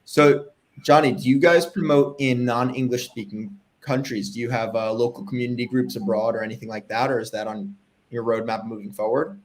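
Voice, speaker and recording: A man's voice: medium pitch, fairly even intonation, rough recording. Young male host, upbeat and chatty, home video call. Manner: upbeat, fillers, quick reactions. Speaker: livestream host. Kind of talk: casual interview over video call. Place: home room with framed pictures.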